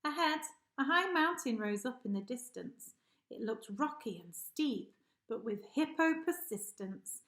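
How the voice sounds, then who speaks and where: A woman's voice: fairly high pitch, a bit bright. A woman reading a rhyming children's story aloud expressively, like a teacher addressing pupils. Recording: echoey room.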